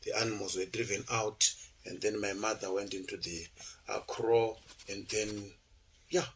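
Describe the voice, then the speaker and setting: A man's voice: fairly low pitch, fairly even intonation, moderate pace, a bit bright, slight background noise. A man from Zimbabwe, a university lecturer being interviewed, telling his life story in a chatty, digressive way with many fillers and restarts.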